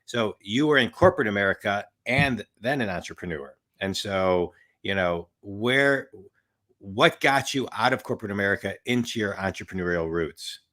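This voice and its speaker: Deep-voiced male interviewer with bright edge. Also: expressive, normal speed. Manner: rambling, wordy questions. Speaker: interviewer, business coach. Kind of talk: conversational interview questions.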